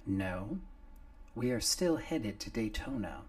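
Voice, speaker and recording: A man's voice: fairly low pitch, lively intonation, slow, far from the mic, voice pushed up. A man voicing a cool, terse female killer who speaks in a plain, clipped way.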